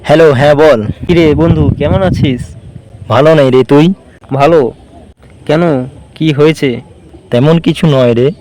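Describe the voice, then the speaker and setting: A man's voice: on the high side, unhurried, pauses often, darkish tone, soft background music, lightly acted, rough recording. A man voicing an idle, chatty young guy in a cartoon, talking casually as if with a friend. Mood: casual, bored. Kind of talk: cartoon dialogue, casual phone chat between friends.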